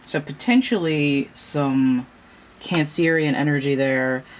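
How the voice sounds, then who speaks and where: A woman hosting a casual tarot reading vlog at home, talking in a halting, meandering way with a stuffy nose. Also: sits low. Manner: halting, many fillers, slow and meandering. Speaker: tarot reader and channel host. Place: home room with a fan running. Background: fan noise.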